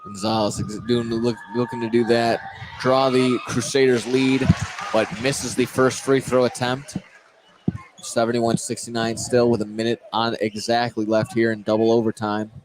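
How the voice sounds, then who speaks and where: A man calling a live high school basketball game on radio, excitable and correcting himself as he goes. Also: moderate pace, slight background noise, poor audio. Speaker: play-by-play basketball commentator. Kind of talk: live sports radio play-by-play.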